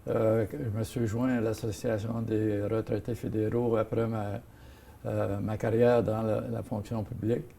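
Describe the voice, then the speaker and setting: Older Franco-Ontarian man, gravelly and hesitant. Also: fairly low pitch, flat delivery, unhurried, mellow, a little hiss or hum. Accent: Franco-Ontarian French. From Ottawa, Canada. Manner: hesitant, many fillers and restarts. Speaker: guest, seniors' association president. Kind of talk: casual interview talk.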